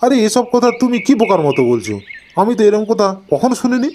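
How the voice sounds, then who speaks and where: A man's voice: sits high, sing-song, a little fast, warm tone, a little hiss or hum. An actor playing a blustering, sceptical man of authority in a cartoon, exasperated and scolding. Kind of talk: Bengali cartoon voice acting.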